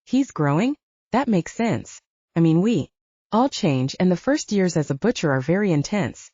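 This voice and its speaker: A woman's voice: lowish voice, animated, moderate pace, mellow, no room sound, highs cut off. A woman voicing an earnest young woman fighter in an audiobook, venting emphatically about love. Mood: thoughtful, resolved.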